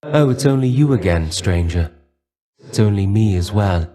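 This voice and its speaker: A man's voice: fairly low pitch, animated, moderate pace, dark tone, lightly acted. A man voicing a weary, brooding dead Greek warrior in a video game, resigned and aloof, with formal, archaic phrasing. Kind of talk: video game character dialogue.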